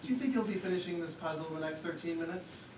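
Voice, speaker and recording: An actor on stage playing a patient, dry husband absorbed in his paper, speaking in a measured, deliberate way. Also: on the high side, natural voice. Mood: exasperated patience. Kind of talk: live one-act stage play acting. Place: theater stage, picked up from a distance. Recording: echoey, distant, very noisy theater recording.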